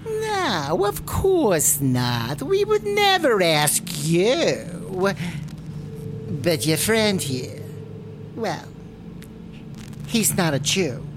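A woman playing a scheming, cheerfully menacing synagogue elder in a comic audio drama, coaxing with mock-gentle menace. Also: fairly low pitch, noisy background, full character voice.

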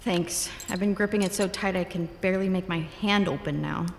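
Sarcastic physicist, echoey. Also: female voice, fairly low pitch, vocal fry, a little hiss or hum. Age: 30s. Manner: wry, quick-witted. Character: snappy, sarcastic female physicist, expectant mother. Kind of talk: audio drama acting. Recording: echoey reverberant room, music behind.

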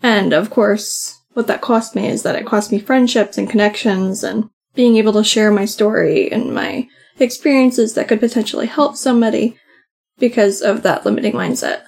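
A soft-voiced woman co-hosting a podcast, talking casually and often saying "like". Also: medium pitch, moderate pace, creaky. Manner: chatty, many "like" fillers. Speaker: podcast co-host. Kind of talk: casual conversation.

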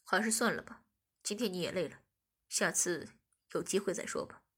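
A woman voicing a bossy, domineering man who taunts and gives orders in a lowered, husky voice. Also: moderate pace. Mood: relaxed. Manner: taunting, commanding.

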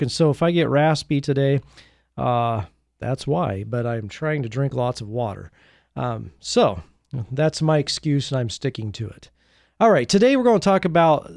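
Male American podcast host, slightly higher-pitched voice, conversational and meandering, in a dry studio-like sound. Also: a little mellow, dry recording. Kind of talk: informal solo podcast monologue.

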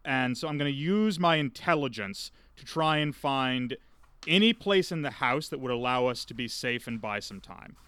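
A man's voice: medium pitch, lively intonation, normal speed, fairly bright tone, clean tone, no echo. A man chatting casually as a player in a tabletop roleplaying game, slipping in and out of character.

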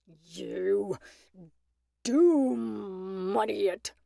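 Woman doing a low, gravelly snarling wolf. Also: slow pace, darkish tone, no room sound, exaggerated voice. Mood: savage accusing. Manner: snarling, menacing, accusing. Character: hungry, savage, bullying male wolf. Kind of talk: storybook character voice.

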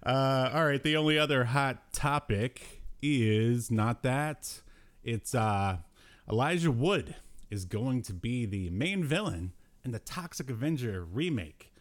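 A man's voice: medium pitch, expressive, slow. A man hosting a podcast in a casual, jokey pop culture chat, often chuckling as he talks. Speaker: podcast host.

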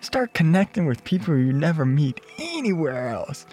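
A man's voice: on the high side, sing-song, moderate pace, darkish tone, faint music behind. A man with an American accent playing an easygoing, eager, friendly old classmate, talking in slangy bro style in an audio drama. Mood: chummy, upbeat. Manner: laid-back slangy bro talk. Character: easygoing, eager, friendly male former high school classmate. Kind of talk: casual, naturalistic audio drama acting.

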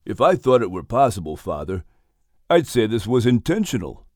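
A man's voice: on the low side, big pitch swings, moderate pace, darkish tone, no room sound, studio quality. A man voicing a puzzled young son speaking to his father in a storybook. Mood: suspicious. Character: puzzled young adult son, plain-spoken.

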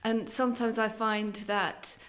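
Middle aged woman w english sounding accent, pretty flat intonation, casual interview chat. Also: fairly high pitch, a little slow. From London, then Ireland from age thirteen. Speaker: guest, stained glass artist. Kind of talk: casual conversational interview answers.

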